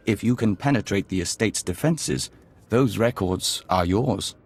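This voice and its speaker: A serene male envoy of an alien insect hive in a sci-fi game. His voice sits on the low side, and he speaks in measured, formal phrases with unfailing courtesy, as one of many. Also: husky. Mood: calm, reverent. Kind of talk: video game voice acting.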